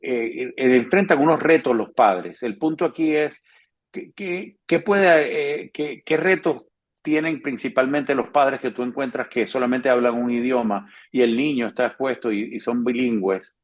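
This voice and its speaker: A man's voice: medium pitch, a little slow. A man hosting an interview, asking long, winding questions with many hesitations and fillers. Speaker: interview host. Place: South Florida. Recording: slightly dull lo-fi sound.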